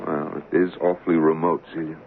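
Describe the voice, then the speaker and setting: Protective friend, very deep and gravelly, measured and soothing, tinny radio. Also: male voice, little pitch movement. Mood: concerned. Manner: soothing, measured, gently insistent. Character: calm, protective older family friend. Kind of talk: 1970s radio drama acting. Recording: tinny, hissy old radio broadcast.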